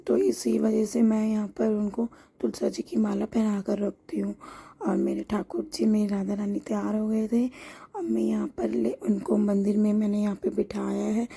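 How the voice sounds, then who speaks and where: A hoarse woman vlogger narrating her daily home routine in a chatty, homely way, explaining each step as she goes. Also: medium pitch, flat delivery, normal speed, dark tone. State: hoarse from a sore throat.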